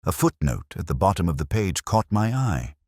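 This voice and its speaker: A man with a very low, bass voice reads a detective story in the first person. His delivery is measured and reflective, with lively rises and falls across each sentence. The sound is close and dry, suited to literary mystery narration. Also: no room sound.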